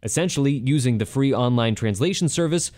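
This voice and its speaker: A man's voice: mid-range voice, expressive, a little fast, a little mellow, no room sound, studio quality. A man hosting a radio interview show, speaking in a conversational, emphatic presenter style. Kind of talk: scripted radio introduction and interview.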